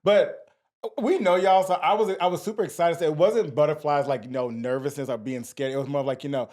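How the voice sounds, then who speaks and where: A young man co-hosting a podcast, chatting casually and animatedly, laughing often and teasing his friends. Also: fairly high pitch. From Texas. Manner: animated, laughing, quick to tease. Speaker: podcast co-host. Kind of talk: casual chat.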